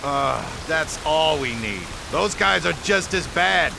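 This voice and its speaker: A man voicing a bossy, dismissive henchman in a video game, griping gruffly and jittery. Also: on the high side, expressive, slow pace, background noise, exaggerated voice. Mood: irritated.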